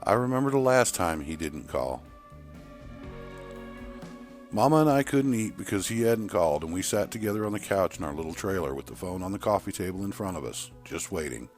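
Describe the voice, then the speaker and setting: A man's voice: sits low, expressive, a little fast, soft background music. A man voicing a wistful woman who earnestly recalls her trucker father in an audio story. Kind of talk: acted dialogue in an audio story.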